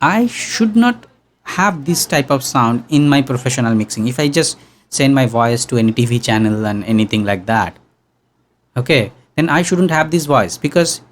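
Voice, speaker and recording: A young man teaching sound engineering in an online workshop, talking casually and humming low tones to demonstrate, close to a studio mic in a small room. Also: lively intonation, moderate pace. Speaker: audio engineering instructor. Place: small room with green backdrop. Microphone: studio condenser mic on boom arm.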